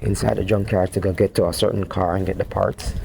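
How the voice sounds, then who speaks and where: A man's voice: low voice, steady tone, fast, vocal fry, a little mellow, slight background noise. A man chatting casually, often repeating his phrases for emphasis. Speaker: vlogger testing walkie-talkies outdoors. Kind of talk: casual chat over walkie-talkie.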